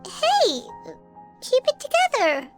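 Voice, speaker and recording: A tiny video game fairy companion with a squeaky, sky-high child's voice. She is cheeky and self-important, drawing words out slowly over clearly audible music. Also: wildly swooping pitch, exaggerated voice. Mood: alarmed urging.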